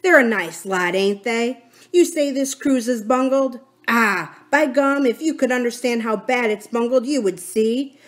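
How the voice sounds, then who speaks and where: A woman's voice: on the high side, unhurried, voice pushed up, natural voice. A woman voicing a cunning, scornful male pirate captain and sea cook in a storybook, with sardonic, folksy sailor talk. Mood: indignant, vehement. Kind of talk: dramatic storybook character reading.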